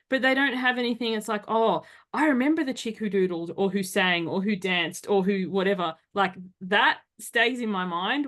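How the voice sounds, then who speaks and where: Chatty woman hosting a podcast. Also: medium pitch. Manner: chatty, animated, acts out quoted reactions. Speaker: podcast host. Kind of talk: casual conversation.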